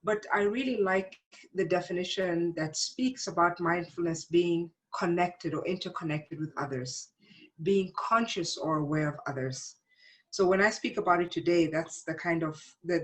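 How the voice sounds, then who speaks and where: Middle-aged woman, slightly low register. Thoughtful interview guest who explains things in a measured, conversational way. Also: rough recording. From born in Uganda, grew up in South Africa. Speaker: interview guest, psychologist and teacher.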